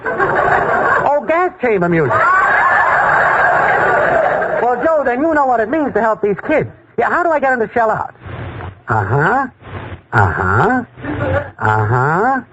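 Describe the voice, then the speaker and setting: A man's voice: very high voice, sing-song, unhurried, pauses often, exaggerated voice. An actor playing a streetwise, wisecracking male schemer in a 1940s radio comedy, heard on a noisy, muffled old broadcast. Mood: scheming. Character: slangy fast-talking male schemer.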